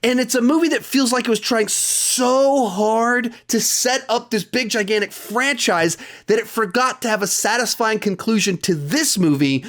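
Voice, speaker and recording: A man's voice: extremely high pitch, moderate pace, few pauses, fairly bright tone. A man in his thirties giving a casual, opinionated ranking of movies as a reviewer and host, speaking candidly.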